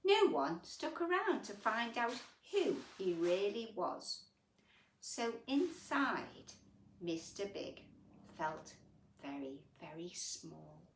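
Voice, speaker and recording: A woman storyteller reads a children's picture book at an unhurried pace, her pitch swinging expressively. She pauses for drama, stretches key words and adds gentle, sympathetic asides to the child listening. The sound is roomy, as if the microphone sits a little way off. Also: sing-song, slight background noise. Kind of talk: animated bedtime story reading with chatty asides to young listeners. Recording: roomy echo, distant microphone.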